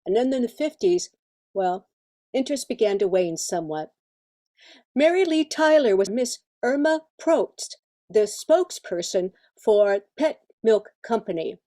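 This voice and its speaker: A woman's voice: medium pitch, lively intonation, unhurried, warm tone. An older woman with an American accent reading a prepared presentation on radio history from her notes.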